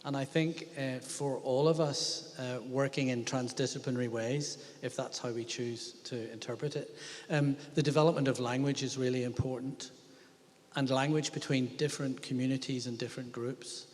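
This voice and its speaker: A man moderating a panel discussion, polite and thoughtful, pausing with frequent hesitations. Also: medium pitch, steady tone. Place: lecture hall at a museum event. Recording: reverberant lecture hall.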